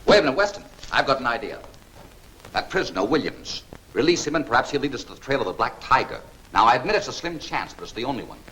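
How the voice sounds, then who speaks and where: A man's voice: mid-range voice, big pitch swings, fast, fairly bright tone, a little hiss or hum, rough recording. A man playing a shrewd, commanding crime-fighter in a 1940s film serial, with a clipped delivery. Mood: decisive, intent. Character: shrewd, decisive crime-fighting investigator, adult male. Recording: old 1940s film soundtrack.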